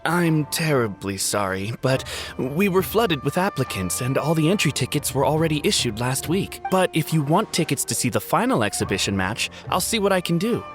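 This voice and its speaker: A man's voice: a little high, sing-song, normal speed, hardly any pauses. A man playing a courteous, helpful estate retainer, formal and polite, in video game dialogue style. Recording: music behind the voice.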